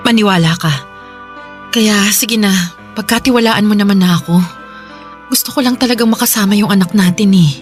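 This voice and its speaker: A woman's voice: medium pitch, brisk, long pauses, soft background music, close to an everyday voice. A woman playing a remorseful, emotional mother who pleads and coaxes in a Tagalog radio drama. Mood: desperate, defensive. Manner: emotional, coaxing, imploring. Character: pleading, remorseful mother longing for her young child.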